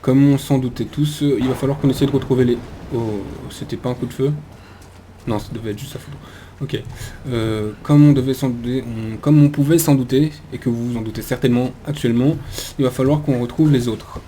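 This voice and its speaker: A man's voice: mid-range voice, fairly even intonation, brisk, warm tone, slight background noise. A man hosting a gaming video with casual let's play commentary, chatty and hesitant as he thinks aloud.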